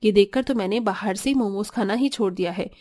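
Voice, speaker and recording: A woman's voice: medium pitch, brisk, dark tone, faint music behind, close to an everyday voice. A woman playing a patient but exasperated young daughter-in-law in a Hindi cartoon, earnest and chiding. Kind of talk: Hindi cartoon story acting.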